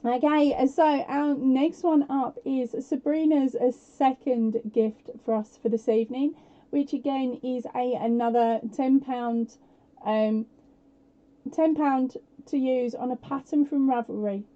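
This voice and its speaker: Female stream host, casual and self-deprecating, slightly croaky, warm low-key tone. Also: steady tone, measured pace. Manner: chatty and self-deprecating, with frequent fillers and laughs. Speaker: podcast host running a live prize quiz. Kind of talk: casual live-stream chat. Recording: dull lo-fi home stream audio.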